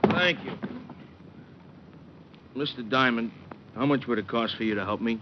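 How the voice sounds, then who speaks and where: A man's voice: medium pitch, natural voice. Man acting a big simple wrestler, muffled old radio. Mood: humble, sad. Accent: American. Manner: plain, slow-witted sincerity. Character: huge, simple, earnest male wrestler, loyal friend. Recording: muffled, noisy vintage radio recording.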